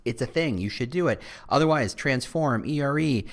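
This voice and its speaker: A man's voice: mid-range voice, expressive, normal speed. A middle-aged man hosting a casual solo podcast, rambling with frequent restarts and asides. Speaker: podcast host.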